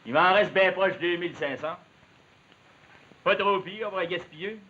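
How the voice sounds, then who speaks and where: A brash, generous Quebec logger in a 1950s television period drama. His voice sits a little high, and he talks in a boastful rural way. The soundtrack is muffled, with a faint hiss. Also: normal speed, bright, forceful tone. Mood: cheerful, boastful. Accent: Quebec French. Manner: boastful, folksy rural speech. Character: brash, openhanded Quebec logging man in love.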